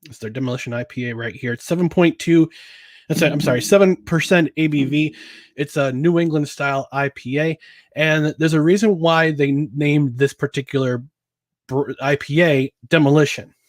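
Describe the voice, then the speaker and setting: A man's voice: on the high side, normal speed, no echo. A man hosting a casual solo podcast about sports and beer, rambling with chuckles, filler words and restarts. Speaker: podcast host.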